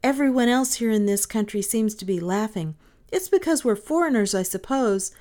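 A protective young storybook brother, as a woman reader acts him. He sounds earnest and serious. His voice sits a little high and moves expressively, yet stays careful. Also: animated, moderate pace, warm tone, close to an everyday voice. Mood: confiding.

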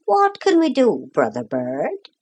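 A woman voicing a noble, hearty eldest gull, master of the sea birds, in a brisk and gallant storybook manner. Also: medium pitch, wildly swooping pitch, a little mellow, slightly rough, pitched above the performer's own voice, heavily acted.

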